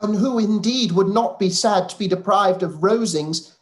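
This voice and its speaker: Brief: obsequious toady, played by a woman, mid-pitched, gushing. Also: normal speed, natural voice. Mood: fawning. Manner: obsequious, flattering. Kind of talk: live-read radio play.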